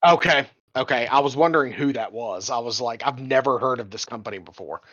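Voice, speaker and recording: A podcast co-host in casual gaming chat, self-deprecating and quick to laugh. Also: medium pitch, muffled recording, crowd noise.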